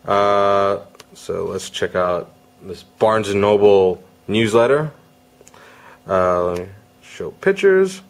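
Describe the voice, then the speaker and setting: A man's voice: lowish voice, slow. A man giving a casual, conversational product demo as a gadget reviewer.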